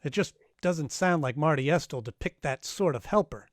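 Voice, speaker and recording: A man's voice: a little high, lively intonation, normal speed, no room sound, natural voice. A man playing a wry, hard-boiled private detective in 1940s radio style, dry and wisecracking. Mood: wry, reflective. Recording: live-streamed radio play with music underneath.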